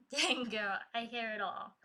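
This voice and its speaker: Woman streamer, high-pitched, unhurried, chatty with frequent laughs, trained voice that can project. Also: bright, forceful tone. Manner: chatty, laughs often, reads chat aloud. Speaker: live streamer and poet. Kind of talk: casual live-stream chat with viewers. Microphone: streaming desk mic.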